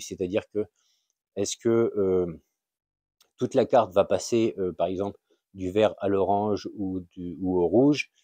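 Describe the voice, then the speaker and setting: A man giving an informal, unscripted explainer as a video host, halting and often searching for words. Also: sits low, dark tone, dry recording. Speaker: YouTube channel host sharing a tip.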